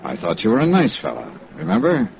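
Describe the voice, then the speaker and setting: An actor playing a wry, weary, persistent insurance investigator in an old radio drama, with clipped hard-boiled delivery. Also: male voice, lowish voice, wildly swooping pitch, a little fast, creaky, background noise, heavily acted. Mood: probing, wry. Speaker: lead detective and narrator. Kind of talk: 1950s radio drama acting, first-person narration. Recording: dull, band-limited old radio recording.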